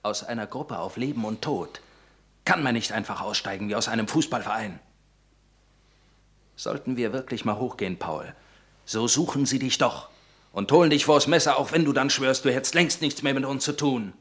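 An actor playing the commanding leader of an underground group who urges a wavering friend to keep quiet in a radio play. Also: male voice, mid-range voice, normal speed, close to an everyday voice. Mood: urgent. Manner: urgent, commanding, hushed then sharp.

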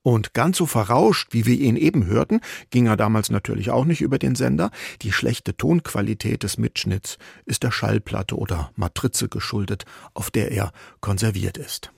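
A man's voice: on the low side, normal speed, gravelly, few pauses, a little mellow, breathy edge, no echo, studio quality. A man presenting a radio history program with a wry, conversational scripted commentary.

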